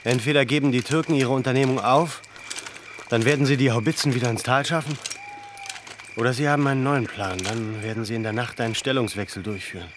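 An actor playing a stern, cautious military commander in a German radio drama, speaking with measured, decisive authority. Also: male voice, medium pitch, steady tone, noisy background, close to an everyday voice. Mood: firm, warning. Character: stern, cautious male commander of besieged Armenian fighters.

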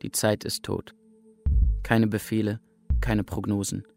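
An actor playing an exhausted camp prisoner in a radio drama, speaking a terse, stark and urgent first-person monologue. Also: male voice, lowish voice, level tone, measured pace, creaky, a little mellow. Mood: weary, urgent. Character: exhausted male camp prisoner awaiting liberation. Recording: faint music behind the voice.

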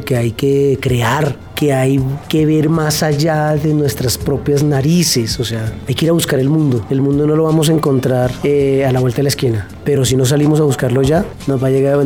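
A man's voice: medium pitch, steady tone, normal speed, few pauses, dark tone. A man speaking spontaneously in an interview, exhorting with repeated phrasing. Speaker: arts group member.

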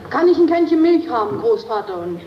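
Spirited young maid, high voice, old echoey stage tape. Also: female voice, big pitch swings, fairly quick, dull audio. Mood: bright, coaxing. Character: plucky, sharp-tongued young servant woman with child. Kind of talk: 1950s German stage acting. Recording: distant, echoey, noisy 1950s rehearsal tape.

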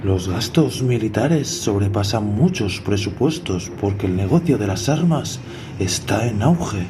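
A man's voice: lowish voice, expressive, a little slow. A man acting in a radio drama as a self-assured antimilitarist train passenger, speaking in an earnest, boastful, lecturing way. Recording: clearly noisy background.

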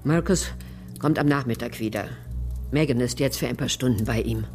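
A woman's voice: a bass voice, moderate pace, a little mellow. Concerned woman, faint music behind. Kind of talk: German crime radio drama acting.

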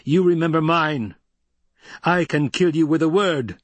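A man's voice: mid-range voice, animated, moderate pace, no echo, voice pushed up, lightly acted. Commanding young man, acted by a male narrator, imperious, coldly furious, declamatory, audiobook drama.

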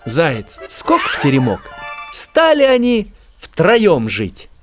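A man narrating a children's fairy tale in an expressive, theatrical storytelling style. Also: fairly high pitch, slow. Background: children's music.

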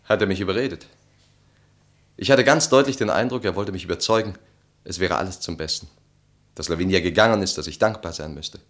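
An actor in a German radio drama playing a harried, evasive husband, hesitant and flustered. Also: male voice, deep voice, brisk, long pauses, fairly bright tone. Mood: troubled, earnest. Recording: roomy studio radio play sound.

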